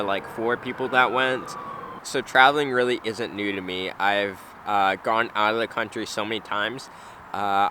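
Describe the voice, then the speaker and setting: A man's voice: lowish voice, bright, forceful tone, slight background noise. A young man of about nineteen, chatting casually and unscripted as a vlogger, rambling and hesitant with plenty of slang. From United States (Wisconsin). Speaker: travel vlogger. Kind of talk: casual unscripted vlog chat.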